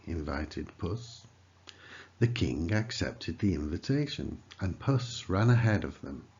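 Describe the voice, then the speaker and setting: Slow, expressive male storybook narrator. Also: low-pitched, slightly rough, lo-fi sound. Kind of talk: scripted children's story narration.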